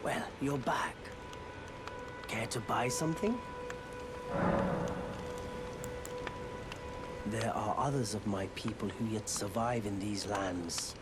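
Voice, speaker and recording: A weary wandering merchant from a fantasy game, played by a man, with a lowish voice. He talks in measured, plaintive, old-fashioned phrases, melancholy at heart, over loud music. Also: close to an everyday voice. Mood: guarded, inviting. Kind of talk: video game character dialogue.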